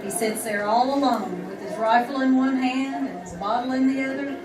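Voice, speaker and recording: A woman's voice: high-pitched, moderate pace, crowd noise, natural voice. An actress playing a worldly, knowing American frontier saloon woman with a casual, teasing manner in an old-time radio western. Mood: wry, curious. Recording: roomy, distant vintage radio with loud music.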